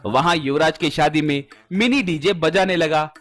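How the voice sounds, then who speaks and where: A man's voice: a little high, moderate pace, fairly bright tone. A man telling a scripted cartoon story as its narrator. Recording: music behind the voice.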